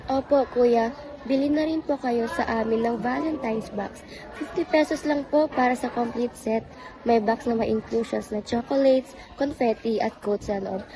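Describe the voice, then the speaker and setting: A woman's voice: on the high side, moderate pace, warm tone, soft background music, lightly acted. A woman with a Filipino accent playing an eager, pushy young booth vendor in an amateur radio drama, salesy and insistent. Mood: eager, selling. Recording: noisy recording with ambience behind.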